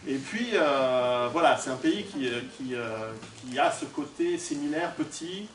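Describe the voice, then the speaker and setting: A man's voice: medium pitch, lively intonation, measured pace. A man from Switzerland gives an informal talk to an audience, conversational and joking, projecting his voice without a microphone and putting questions to the room. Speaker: guest lecturer and author. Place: indoor hall, recorded at a distance. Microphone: distant camera or recorder, no microphone on speaker. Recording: echoey hall from far off, noisy.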